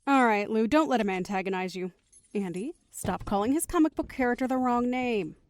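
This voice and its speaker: A woman acts as a firm, protective mother in an audio drama. The voice is fairly high and dryly recorded, giving instructions with patient, teasing authority. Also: expressive, a little fast, no room sound, natural voice. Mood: exasperated, placating.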